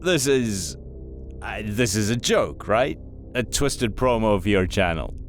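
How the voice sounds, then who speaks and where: A man voicing a conversational male talk show interviewer in a horror audio story, expressive in his delivery. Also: lowish voice, slow, soft background music, voice pushed up. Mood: uneasy disbelief. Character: male talk show host, chatty, growing nervous and disbelieving.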